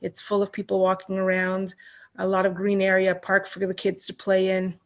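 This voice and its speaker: A woman's voice: mid-range voice, monotone, moderate pace. A middle-aged Canadian woman chatting as an interview guest, rambling with many restarts and fillers. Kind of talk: casual online chat.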